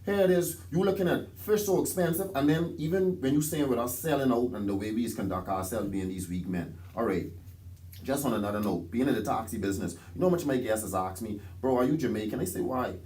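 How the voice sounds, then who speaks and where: A man's voice: medium pitch, fairly quick, darkish tone. Emphatic Bahamian man on a rant. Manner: emphatic, preachy rant with acted-out quotes. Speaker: taxi driver and podcast guest. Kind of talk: casual podcast chat.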